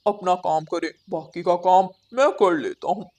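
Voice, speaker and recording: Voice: medium pitch, a little slow, darkish tone, natural voice. An actor voicing a hardworking, polite male crow house painter in a children's cartoon, earnest and reassuring. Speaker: cartoon character voice. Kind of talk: Hindi children's cartoon dialogue.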